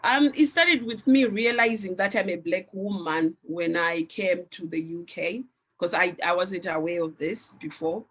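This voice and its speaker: A woman from Zimbabwe, raised speaking Shona, talks at a slow, unhurried pace on a discussion panel. Her speech is earnest and reflective, with frequent pauses and restarts as she gathers her thoughts. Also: fairly low pitch, fairly bright tone, breathy edge. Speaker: panelist, founder of an arts organization.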